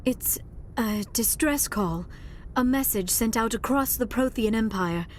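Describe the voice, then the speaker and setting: A woman's voice: on the high side, a little slow, slight background noise, close to an everyday voice. A woman playing an earnest, polite alien scientist in a video game, speaking in careful phrases. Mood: awed. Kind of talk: dramatic video game voice acting. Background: music.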